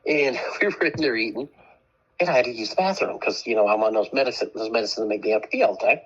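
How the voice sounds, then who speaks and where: A man's voice: medium pitch, expressive, quick pace, breathy edge, muffled recording. A man co-hosting a radio show while getting over a cold, chatting and telling stories with a laugh. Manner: chatty storyteller, laughs often. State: has a cold. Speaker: radio co-host. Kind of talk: casual on-air chat.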